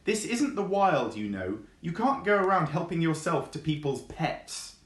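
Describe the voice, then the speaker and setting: A man's voice: a little high, sing-song, unhurried, reverberant, pitched above the performer's own voice. A man voicing a protective, heroic dog in a children's story, firm and scolding. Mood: stern.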